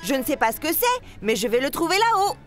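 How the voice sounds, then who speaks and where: A woman's voice: high voice, sing-song, fast, a bit bright, soft background music. A woman voicing a brave, loyal young turtle boy with an earnest, emphatic delivery in a French children's cartoon. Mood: gentle, determined.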